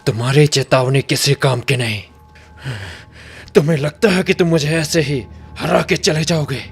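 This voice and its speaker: A man's voice: a little high, normal speed, gravelly, slightly rough, soft background music. An actor voicing an arrogant, cruel male god in a dubbed game cutscene, taunting and threatening his foe.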